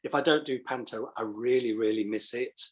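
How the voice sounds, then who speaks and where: A man's voice: mid-range voice, a little fast. A man chatting casually as an interview guest, affable with some dry joking. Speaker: guest, pantomime dame performer. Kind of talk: casual chat. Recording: slightly dull, lo-fi call audio.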